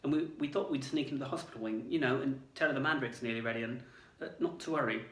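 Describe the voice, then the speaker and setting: A man voicing an earnest, quick-thinking teenage schoolboy who talks urgently and eagerly. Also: medium pitch, fairly bright tone, close to an everyday voice. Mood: hurried. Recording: roomy, distant mic.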